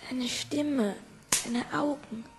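Child role: sleepless doubter, high and slow, radio play dialogue. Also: female voice, faint music behind. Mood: doubtful. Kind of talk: audio drama dialogue.

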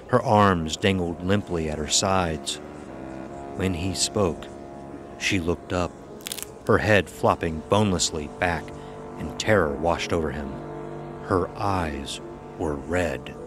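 A man's voice: deep voice, takes it slowly, pauses often. A man reading a scripted horror story as its narrator. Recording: music behind the voice. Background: music.

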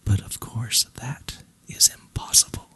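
A storyteller voicing a smitten young prince in a bedtime fairy tale, earnest and pleading, in a breathy whisper. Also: a little slow, bright, forceful tone.

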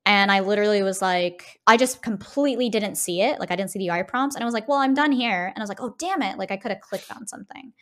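A woman's voice: mid-range voice, fast, hardly any pauses, fairly bright tone. A woman co-hosting a podcast, explaining her points casually and emphatically, often checking in with short tag questions. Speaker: podcast co-host. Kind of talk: casual conversational game discussion.

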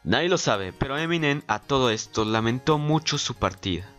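Medium-pitched man narrating over music. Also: lively intonation, normal speed, a bit bright. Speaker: guest co-narrator. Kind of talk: scripted narration for a music trivia video.

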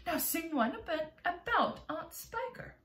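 A woman's voice: very high voice, big pitch swings, a little slow, a bit bright, roomy sound, voice pushed up, exaggerated voice. A woman voicing a genteel female spider with a sorrowful, sighing, aggrieved manner. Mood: pleased.

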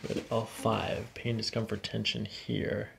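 A man's voice: lowish voice, little pitch movement, measured pace, creaky, fairly bright tone, slight background noise. A man in his thirties, a chiropractor, talking a patient through an exam in a reassuring, matter-of-fact way in a small office. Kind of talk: clinical exam talk, narrating findings to a patient.

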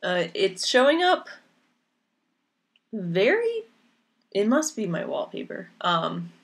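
A young woman hosting a knitting podcast from home, chatting casually to her laptop with lots of ums, her voice a little raspy from a lingering cough. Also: mid-range voice, huge pitch swings, takes it slowly. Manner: chatty and rambling, with many ums. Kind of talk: casual chat to camera. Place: small room at home, indoors. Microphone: laptop camera mic. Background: rain outside.

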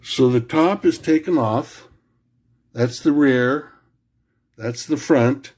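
Slow-talking man explaining a home fix. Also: mid-range voice, a little mellow. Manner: plain, halting, repeats himself with fillers. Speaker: home repair video maker. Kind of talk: casual how-to explanation.

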